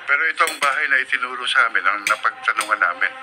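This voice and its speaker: A man's voice: mid-range voice, animated, racing, noisy background, lightly acted. A man playing an aggrieved husband in a Filipino radio drama, speaking in a pointed, accusatory way. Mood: stern.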